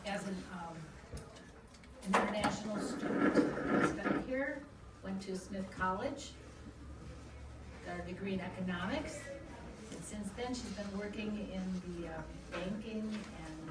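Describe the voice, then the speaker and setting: A woman introducing a guest speaker with a halting delivery full of ums. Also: mid-range voice. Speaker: event organizer introducing the speaker. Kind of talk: spoken introduction. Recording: echoey room, distant mic, noise.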